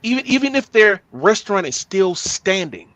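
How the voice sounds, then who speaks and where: Lively, animated man on a podcast. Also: high-pitched, moderate pace, no echo. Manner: animated, joking, repeats words. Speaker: podcast co-host. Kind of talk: casual chat.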